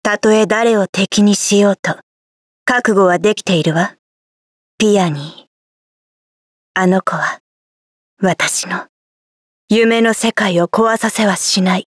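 A woman playing a cool, devoted young nightmare fairy in a video game, with a breathy voice. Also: mid-range voice, normal speed, long pauses, no room sound, clean studio sound, close to an everyday voice. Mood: somber. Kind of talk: anime-style video game voice acting.

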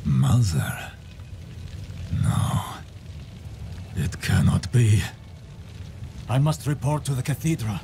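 A man's voice: mid-range voice, big pitch swings, vocal fry, warm tone, slight background noise, heavily acted. A man playing a stern, devout holy man who fears demons, speaking solemnly in a fantasy game cutscene. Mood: alarmed. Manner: solemn, measured, pious. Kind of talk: dramatic video game cutscene acting.

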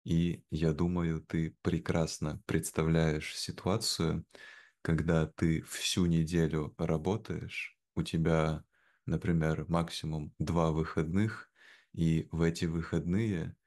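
A young man speaking in a measured, careful way, as a guest in a slow conversation for language learners. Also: a bass voice, little pitch movement, a little mellow. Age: young adult. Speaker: interview guest. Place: indoor room at home, video call. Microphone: video call, wearing headphones.

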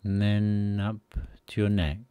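Very slow, deep-voiced male meditation guide. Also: flat delivery, mellow, clear, resonant tone, dry recording. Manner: soothing, unhurried, pausing between short phrases.

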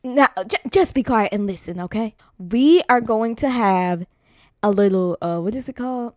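Animated high-voiced sassy young woman acted by a woman. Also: normal speed, no room sound, studio quality, close to an everyday voice. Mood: bossy. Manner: sassy, exasperated, bossy. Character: sassy, jumpy grown granddaughter taking charge. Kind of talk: amateur voiced roleplay, improvised.

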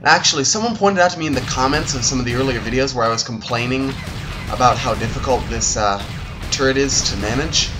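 A man hosts a let's play with casual, playful commentary. His pitch is middling and his talk is chatty. Game music is clearly heard behind him. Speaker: gamer, let's play host.

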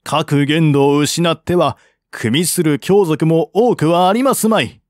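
A man's voice: a little high, a little slow, no room sound, clean studio sound, natural voice. A man reading the part of a courteous, shrewd peace envoy in a historical novel, speaking politely and formally. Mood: earnest, persuading. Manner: polite, formal, reasoning step by step.

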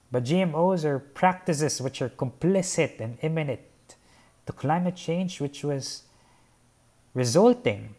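A man's voice: a little high, a little mellow. Young guy from the philippines explaining his painting, earnest and formal, sitting out on a patio. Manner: measured, earnest, formal wording. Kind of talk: reflective artist talk. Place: covered patio by a garden, outdoors.

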